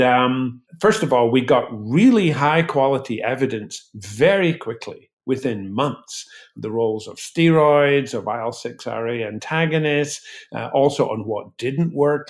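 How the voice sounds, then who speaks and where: A man speaking as an expert interview guest, explaining in emphatic, articulate, measured phrases. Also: mid-range voice. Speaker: physician researcher, interview guest.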